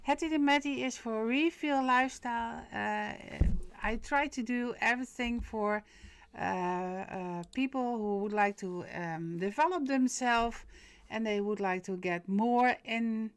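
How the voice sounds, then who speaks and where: A woman's voice: fairly high pitch, measured pace, clean tone, no echo. A middle-aged woman with a Dutch accent, whose first language is Dutch, hosting a casual live stream as a medium and talking hesitantly with many fillers and restarts. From Netherlands.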